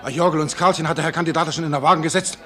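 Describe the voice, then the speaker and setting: An actor playing an agitated, servile underling in a radio play, flustered and repeating himself in folksy speech. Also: male voice, fairly high pitch, steady tone, very fast, pushed and bright. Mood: anxious. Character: agitated, servile male underling bringing alarming news. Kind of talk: theatrical German radio play acting.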